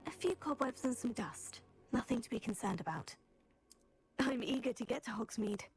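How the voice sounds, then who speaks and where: An actress voicing a polite, eager young female student witch in a video game, measured and well-spoken. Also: a little high, lively intonation, slightly rough. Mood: pleasant. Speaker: player character voice in the game. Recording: game audio with music under it.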